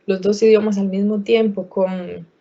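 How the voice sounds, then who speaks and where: A woman answering questions as an expert in an online talk, pausing often with fillers and restarting her phrases. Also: mid-range voice, steady tone, dark tone, muffled recording. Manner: hesitant, many fillers and restarts. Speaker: guest expert speaker.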